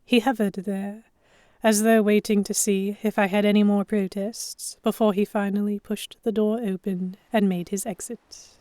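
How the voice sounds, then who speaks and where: Female first-person narrator, audiobook style: medium pitch, expressive storytelling, dry studio-like sound. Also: moderate pace, no echo. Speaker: narrator and lead heroine.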